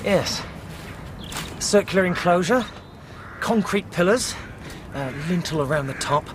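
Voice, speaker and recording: High-pitched, brisk specialist. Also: male voice, lively intonation, noisy background. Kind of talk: radio drama acting.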